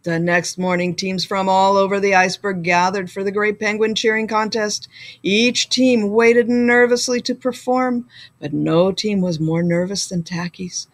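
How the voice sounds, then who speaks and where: A woman reading a children's story aloud like a school librarian, with deliberate, expressive delivery. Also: medium pitch.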